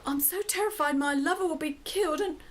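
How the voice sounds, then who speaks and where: Woman acting weepy young damsel, super high voice, scared n sobbing, bit of noise behind. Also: brisk, lightly acted. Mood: tearful, despairing. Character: grieving young damsel, fearful for her sweetheart. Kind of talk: audio drama acting.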